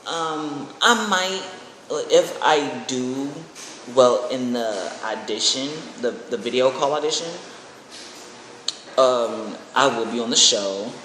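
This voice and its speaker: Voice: medium pitch, slow. Young adult vlogger with a mid-range voice, casual and rambling, recorded in a very reverberant, noisy room. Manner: rambling, excitable. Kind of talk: casual chat to camera. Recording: echoey room with clear background noise.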